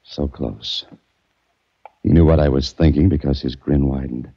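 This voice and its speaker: An actor playing a hard-boiled adventurer who narrates and speaks in a 1940s radio drama, with wry, tough delivery. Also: male voice, extremely low pitch, steady tone, unhurried, slightly rough, full character voice. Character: hard-boiled male adventurer held captive. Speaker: narrator and lead. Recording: dull old radio recording.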